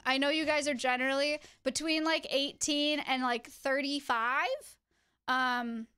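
A woman's voice: sits high, bright, forceful tone, smooth. A young woman streamer chatting to her viewers, giving tips in a teacherly, chatty way. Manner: chatty, teacherly, addresses chat directly. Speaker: streamer host.

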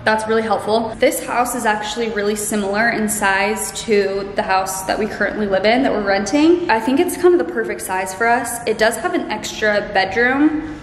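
A woman's voice: medium pitch, normal speed, a little hiss or hum. A young woman in her twenties chatting casually as she walks the camera through an empty house, full of "like" and "you know". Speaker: vlogger giving a home tour. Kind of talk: casual walk-through vlog. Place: empty house, bare rooms. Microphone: handheld camera at arm's length. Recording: echoey empty rooms.

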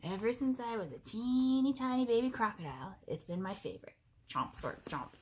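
A woman voicing a melodramatic young watermelon-loving crocodile from a picture book, with exclamatory, drawn-out delivery. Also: fairly high pitch, animated, a little mellow, close to an everyday voice. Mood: gleeful. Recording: noisy home recording.

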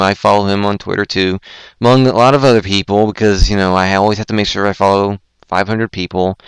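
A young man hosting a casual online show, rambling and self-deprecating, with lots of stumbling restarts and a throat that is going hoarse. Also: on the low side, no room sound, rough recording. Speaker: podcast host. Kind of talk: casual chat on a Skype call.

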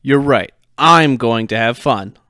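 A man's voice: mid-range voice, takes it slowly, bright, forceful tone, dry recording, clean studio sound, pitched above the performer's own voice. A man voicing a tipsy, petulant middle-aged cartoon dad, defensive and childish. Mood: resolved.

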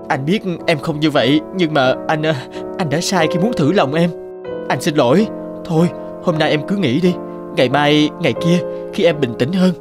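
A man's voice: fairly high pitch, lightly acted. A man playing an apologetic young boyfriend in a cartoon drama, hesitant, earnest and pleading. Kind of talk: animated drama dubbing.

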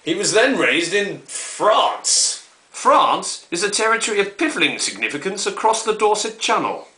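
A grandiose, old-fashioned broadcast presenter, acted by a man, sounds set back from the mic in an echoey space. The voice is fairly high and swings widely, with formal, disdainful delivery in a spoof current affairs programme. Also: big pitch swings, unhurried, sharp tone, recorded from a distance, exaggerated voice. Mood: pompous, grave. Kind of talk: mock vintage public broadcast announcing.

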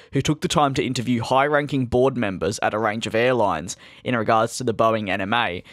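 Steady male aviation news narrator. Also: mid-range voice, no echo, clean studio sound. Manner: steady, measured news delivery. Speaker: aviation channel host. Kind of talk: scripted video narration. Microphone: close studio voice-over.

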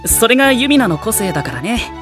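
A man's voice: extremely high pitch, sing-song, a little fast, music in the background. A man playing a kind, easygoing teenage boy with a casual, good-natured way of talking.

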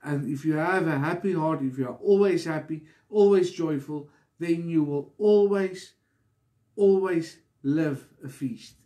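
A man preaching a devotional sermon in an earnest, deliberate manner, pausing between short phrases. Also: fairly high pitch, mellow. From South Africa.

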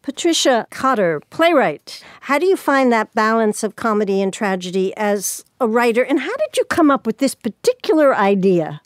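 A woman hosting a podcast, reading credits and asking the cast questions in a brisk, friendly way. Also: fairly high pitch, big pitch swings, no echo, studio quality. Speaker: host. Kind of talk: podcast host interview.

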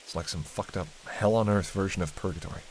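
A man's voice: sits low, fast talker, natural voice, low-quality recording. A man playing a sardonic, teasing vampire in an intimate roleplay, talking in a conversational, bantering way with dry sarcasm. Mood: weary, wry. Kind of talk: intimate audio roleplay monologue. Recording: steady hiss and rain behind. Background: rain.

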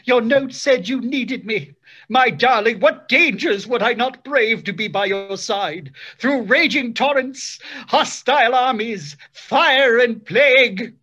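A woman's voice: a little high, slow pace, a bit bright, breathy edge, highs cut off, heavily acted. A woman playing a lovestruck, foolish male stage hero with overblown theatrical delivery in an old-style radio play. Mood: gallant, lovestruck. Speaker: actor. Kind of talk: 1930s radio acting.

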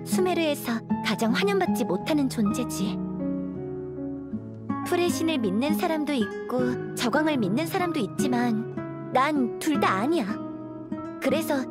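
A woman's voice: high-pitched, lively intonation, unhurried, pauses often. A woman voicing a guarded, melancholy young village outsider in a video game, speaking earnestly in a subdued, confiding way. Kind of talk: video game character dialogue. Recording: faint background music.

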